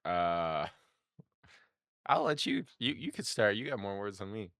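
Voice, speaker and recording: Male sports podcast co-host, low pitch, laid-back and slangy, dry recording. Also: big pitch swings, slow, fairly bright tone, no room sound. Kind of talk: casual sports chat.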